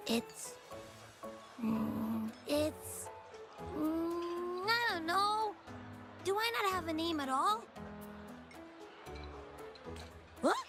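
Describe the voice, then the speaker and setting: High-pitched cheeky little game creature. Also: female voice, full character voice. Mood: puzzled, indignant. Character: small childlike echo creature, cheeky, hungry, dramatic. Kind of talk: video game character acting.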